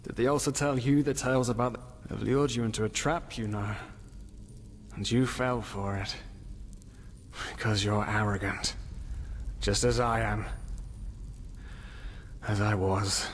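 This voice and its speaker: A weary, despairing prisoner from a fantasy video game. He is half-mad and philosophical, dragging out halting thoughts at a very slow pace in a middle-pitched voice. Long hall reverb surrounds him, with faint noise underneath. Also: steady tone. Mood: bitter, brooding. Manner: brooding, halting, philosophical, with sighs and whispery trailing words. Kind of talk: dramatic video game dialogue acting.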